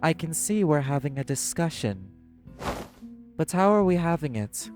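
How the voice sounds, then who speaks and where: A man playing an apathetic, guarded socialite in a crime syndicate, speaking in a measured, deliberate way in an audio drama. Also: on the high side, very animated, darkish tone, faint music behind. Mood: tense, probing. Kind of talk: dramatic audio roleplay acting.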